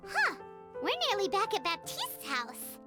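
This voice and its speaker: A tiny floating fairy sidekick from a video game, with an extremely high, squeaky child's voice. The delivery is bubbly and swoops wildly up and down, over prominent game music. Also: female voice, huge pitch swings, measured pace, heavily acted. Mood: wistful observing. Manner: refers to self in third person, bubbly. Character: tiny cheerful female fairy-like flying companion, childlike. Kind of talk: video game cutscene acting.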